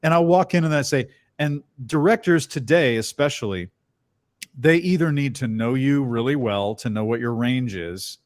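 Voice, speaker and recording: A middle-aged man with a bass-baritone voice, a voice actor chatting as a guest in an interview and telling stories with lively bits of character voices. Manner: animated storyteller, tosses in quick character voices. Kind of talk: casual interview chat.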